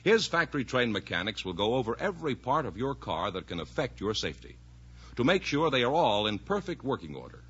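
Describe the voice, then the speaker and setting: A man's voice: medium pitch, big pitch swings, fairly quick, a bit bright, breathy edge. A man announcing on a 1950s radio show and delivering sponsor messages. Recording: dull, muffled vintage radio recording.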